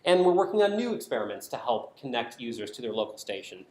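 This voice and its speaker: A man's voice: medium pitch, sing-song, quick pace, darkish tone. Man presenting in an echoey room. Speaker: conference presenter, design team member at a public radio network. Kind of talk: prepared conference presentation. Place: indoor conference talk.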